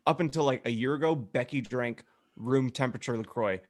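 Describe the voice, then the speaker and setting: Male podcast co-host, average pitch, casual and teasing, quick with jokes. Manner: teasing, joking. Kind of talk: casual panel banter.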